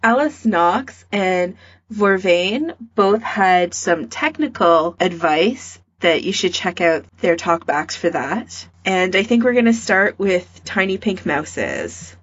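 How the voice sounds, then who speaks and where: A woman's voice: fairly low pitch, lively intonation, slow, breathy edge, dull audio. A woman co-hosting a podcast in casual discussion. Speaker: podcast co-host.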